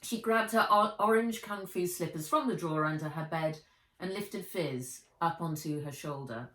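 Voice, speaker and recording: A woman with a slightly low voice reads an adventure story for children. She is expressive and sounds set back from the microphone in a roomy, echoing space. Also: normal speed. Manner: expressive, acts out the dialogue. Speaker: author reading her own book aloud. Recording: roomy echo, distant from the mic.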